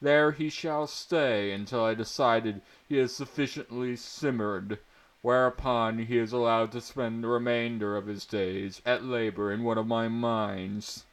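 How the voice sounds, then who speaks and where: A man's voice: medium pitch, steady tone, a little slow, close to an everyday voice. A man voicing a haughty, pompous fat prince in a fantasy story, greasy and cruel, with a declaiming manner. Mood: pompous, commanding.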